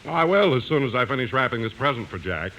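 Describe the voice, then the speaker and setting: A big, jovial husband in a 1950s radio comedy, full of sugary endearments and theatrical exasperation. His pitch leaps all over the place. The old broadcast recording is clearly noisy. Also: big pitch swings, quick pace, a bit bright, dull audio. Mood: jovial, doting. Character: jovial, excitable fat husband, doting and exasperated.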